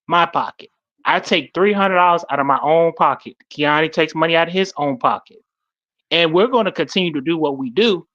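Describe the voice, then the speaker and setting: Bright-voiced man urging, dull lo-fi sound. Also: fairly high pitch. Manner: halting, repetitive, seeks agreement with listeners. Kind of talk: impassioned casual live talk.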